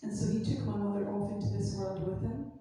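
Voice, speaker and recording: Female church interpreter, mellow voice, distant, heavy chapel echo. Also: mid-range voice, brisk, faint music behind. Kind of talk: consecutive interpreting into English. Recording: echoey chapel from far off.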